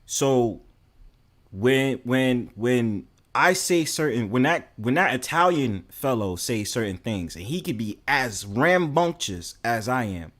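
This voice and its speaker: A man's voice: mid-range voice, expressive, slow pace, fairly bright tone. A young man with a New York accent, talking casually and bluntly to his stream, full of fillers and swearing. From New York City. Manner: rambling, heavy fillers, blunt and profane.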